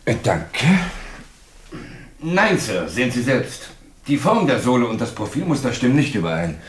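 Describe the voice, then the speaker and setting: A man's voice: mid-range voice, very animated, moderate pace, close to an everyday voice. Dutiful police sergeant in an echoey room, man acting. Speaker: actor playing a police sergeant. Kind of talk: German radio crime drama acting.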